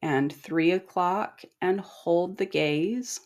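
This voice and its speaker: A middle-aged woman leading a guided meditation in a calm, gentle, instructing manner with long pauses. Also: on the low side. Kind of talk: guided body scan meditation.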